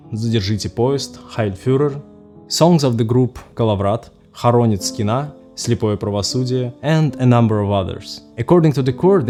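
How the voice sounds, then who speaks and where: A man with a Russian accent, from Russia, narrating a scripted explainer in a measured, dry way. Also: mid-range voice, darkish tone. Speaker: vlogger.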